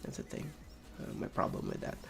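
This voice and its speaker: A young man with a raspy voice, streaming a game and rambling casually with many fillers and restarts. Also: a little fast, faint music behind. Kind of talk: casual chat while playing a game.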